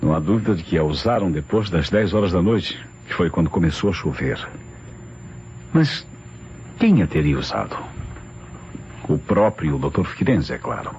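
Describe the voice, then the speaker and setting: Low-voiced inspector, commanding and deductive, swooping intonation, vintage radio play with muffled sound. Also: male voice, lively intonation, a little mellow. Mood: investigating, decisive. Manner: deliberate, deductive, theatrical. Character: commanding, analytical male police inspector. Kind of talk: old radio mystery acting. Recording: muffled, hissy old radio recording.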